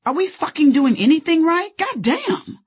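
An American woman acting out her own fiery, outspoken younger self in a memoir, husky, sputtering and forceful. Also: very high-pitched, very animated, normal speed, pitched above the performer's own voice. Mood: indignant.

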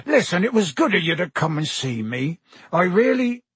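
A big, drunken countryman of a gamekeeper, acted out by a male narrator, growls thickly through a storybook. His words come slow, slurred and heavy, in a husky, close, dry voice. He is gloomy and soft at heart. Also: high-pitched, very animated, no echo, voice pushed up, full character voice. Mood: grateful. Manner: rustic dropped-word speech, slow and heavy. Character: huge drunk gamekeeper, miserable, soft-hearted, rough-spoken man.

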